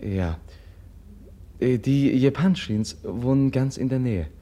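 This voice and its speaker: An actor in a 1950s radio play voicing a gentle, earnest young prince who asks sincere questions. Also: male voice, medium pitch, takes it slowly, close to an everyday voice. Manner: soft, sincere, searching questions. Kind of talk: 1950s radio drama acting. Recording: old radio play, husky tone.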